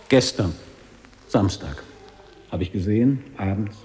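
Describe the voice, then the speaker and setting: Low-pitched man acting, deliberate, in a reverberant hall. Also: a little mellow, lightly acted. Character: probing former boarding school pupil, a man back visiting. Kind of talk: German literary radio drama. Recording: long hall reverb, audible background noise.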